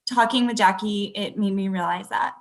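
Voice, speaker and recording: A woman's voice: mid-range voice, steady tone, moderate pace, creaky, a little hiss or hum, close to an everyday voice. A young woman acting a hurt, confrontational girlfriend in a naturalistic script read over a video call. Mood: upset, frank. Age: young adult. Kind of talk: acted pilot table read, naturalistic. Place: small room at home, video call. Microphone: computer video-call mic.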